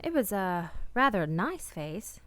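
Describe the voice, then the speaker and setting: A woman's voice: mid-range voice, sing-song, a bit bright, no room sound. An actress playing an earnest, guilt-ridden married woman in a radio play, speaking in a refined, hesitant way. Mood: wistful narrating. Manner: hesitant, earnest, refined, with halting repeated words. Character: married woman, anxious, guilt-ridden, tenderly confessing a secret love. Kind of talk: radio play acting with confessional narration.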